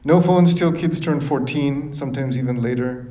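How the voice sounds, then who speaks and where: A man from Sri Lanka speaks informally to a room, with a mellow, darker voice. He keeps it conversational and often checks that listeners agree. The recording is roomy and a little muffled. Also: mid-range voice, moderate pace. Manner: conversational, often seeks agreement with tag questions. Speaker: speaker giving advice to parents at a talk. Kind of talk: informal public talk with audience questions. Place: echoey room in front of an audience. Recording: roomy, dull, distant microphone recording.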